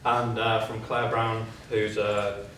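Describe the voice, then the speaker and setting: Male conference host, hesitant and full of fillers, lowish voice, distant mic in a very echoey room. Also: level tone, slow, a bit bright, slight background noise. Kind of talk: introducing speakers. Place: conference hall.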